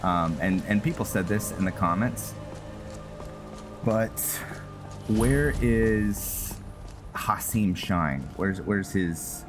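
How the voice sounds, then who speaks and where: A man with a low voice commentates on a game as he plays. He speaks slowly and haltingly, thinking aloud as he goes, with clear music behind him. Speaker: gaming video host, player. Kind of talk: unscripted game commentary, roleplay musing.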